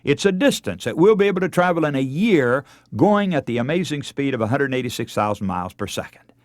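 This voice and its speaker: A man delivering a radio sermon as a preacher, earnest and emphatic, with deliberate, oratorical phrasing. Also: medium pitch, sing-song, no echo, studio quality. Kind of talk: radio sermon, oratorical lecture with scripture readings.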